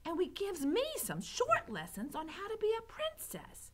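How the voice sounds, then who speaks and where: A woman's voice: very high-pitched, wildly swooping pitch, normal speed, a bit bright, pitched above the performer's own voice, exaggerated voice. A woman voicing a dim, eager young serving girl from a storybook, blunt and uneducated in her speech. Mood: gleeful. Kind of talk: storybook character voice.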